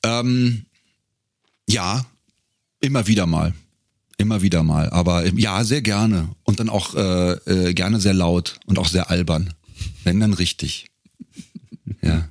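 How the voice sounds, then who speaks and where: A man speaking casually as an interview guest, a professional voice artist, who talks thoughtfully and haltingly as he searches for words. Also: low-pitched, a little mellow, dry recording, studio quality.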